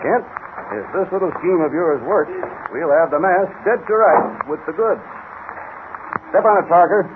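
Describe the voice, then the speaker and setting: Actor as businesslike police commissioner, highish voice. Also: male voice, normal speed, close to an everyday voice. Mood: brisk, commanding. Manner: clipped, authoritative. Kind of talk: 1940s radio serial acting. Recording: tinny, noisy vintage radio recording.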